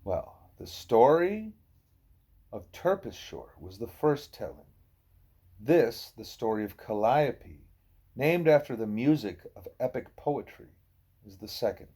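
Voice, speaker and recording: A man voicing a wise, patient gorilla teacher who lectures in a deliberate, formal way. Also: mid-range voice, sing-song, warm tone, husky, full character voice. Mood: patient lecturing.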